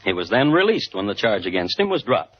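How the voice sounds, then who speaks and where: A man playing a dry, wry crime-story host, narrating with deliberate phrasing in 1950s radio style. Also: lowish voice. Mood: dryly ironic. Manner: deadpan irony, deliberate phrasing. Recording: dull old radio recording.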